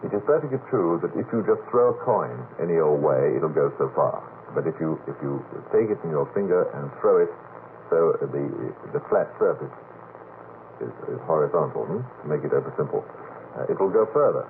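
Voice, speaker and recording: A middle-aged man telling a rambling story in a radio panel talk, halting, repeating words and checking that listeners follow. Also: very deep voice, expressive. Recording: noisy vintage late-night radio, narrow tinny band.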